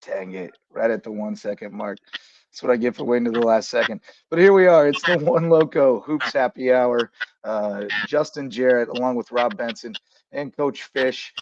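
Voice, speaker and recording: A man hosting a casual basketball talk show with a voice a bit raspy from shouting the day before, rambling easily with lots of fillers. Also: medium pitch, normal speed, slight background noise. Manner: easygoing, rambling with many fillers. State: slightly raspy from coaching. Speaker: podcast host. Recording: dull, dry sound.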